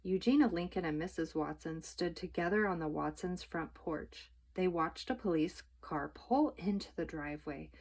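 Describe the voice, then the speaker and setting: A woman's voice: fairly low pitch, normal speed, few pauses, a little mellow. A woman narrating a children's book as a storytime reader.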